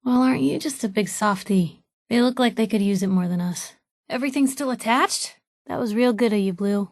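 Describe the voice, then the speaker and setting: A woman playing a sassy, warm-hearted young reporter and loyal companion in a video game, talking in wry, breezy, clipped slang. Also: fairly high pitch, brisk, no echo, studio quality, natural voice. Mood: breezy, dry. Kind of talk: game voice lines, short reactive barks.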